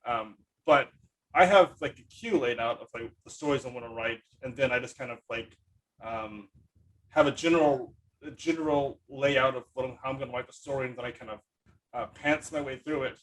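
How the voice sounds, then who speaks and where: A man hosting a casual podcast chat, talking in a rambling, halting but enthusiastic way. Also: mid-range voice, fairly bright tone, low-quality recording. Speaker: podcast host, writer.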